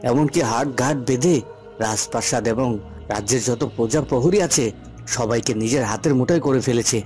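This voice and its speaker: Man as young fairy-tale prince, medium pitch, earnest, measured, declamatory, resolute, music in background. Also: darkish tone, lightly acted. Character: resolute, patient young prince plotting against a usurper. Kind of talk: Bengali fairy-tale cartoon dubbing.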